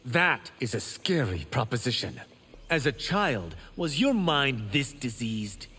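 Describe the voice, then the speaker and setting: A man's voice: mid-range voice, very animated, slow pace, pushed and bright, breathy edge, in a large hall, heavily acted. An actor playing a cocky, witty young male monk fighter in a video game, wry and joking in manner. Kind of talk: video game character voice acting.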